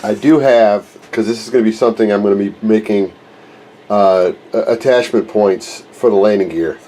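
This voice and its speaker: A man's voice: fairly low pitch, moderate pace, mellow, slight background noise. A man in his forties, congested from a cold, chatting casually in a rambling, self-correcting way while filming in his home workshop on a handheld camera. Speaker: RC plane hobbyist and channel host. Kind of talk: casual workshop vlog chat. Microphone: handheld camera held close.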